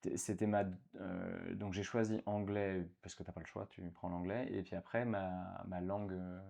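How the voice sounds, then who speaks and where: A man's voice: low-pitched, level tone, moderate pace, creaky, mellow. A man in his thirties from France, a guest in a casual interview, talking with many fillers and restarts.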